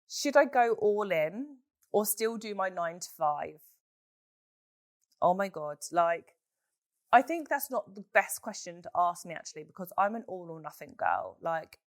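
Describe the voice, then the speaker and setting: Hoarse female podcast host, frank and animated, low-ish voice, dry room. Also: unhurried, no echo. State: hoarse from a chest infection. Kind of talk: solo podcast Q&A, casual chat.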